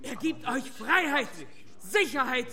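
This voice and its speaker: An actor playing a defiant, driven dike master who addresses villagers in emphatic, clipped declarations in a radio drama. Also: male voice, very high-pitched, expressive, a little slow, sharp tone, full character voice. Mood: impassioned.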